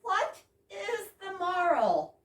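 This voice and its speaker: A woman's voice: extremely high pitch, wildly swooping pitch, takes it slowly, a bit bright, exaggerated voice. A woman in amateur readers' theater playing a polite, teasing society lady with an arch, theatrical delivery. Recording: echoey room, distant mic, faint noise.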